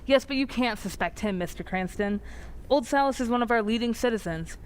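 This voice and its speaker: A woman's voice: on the high side, fairly quick, lightly acted, poor audio. A woman playing an earnest, plain-spoken village sheriff in an old-time radio drama, with a grave, matter-of-fact manner. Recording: faint background noise.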